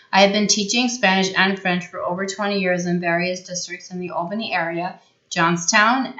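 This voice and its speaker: A middle-aged woman, a high school Spanish teacher, giving a prepared, earnest award acceptance statement. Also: fairly bright tone. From New York State, US. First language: Italian. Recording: echoey room, dull lo-fi sound.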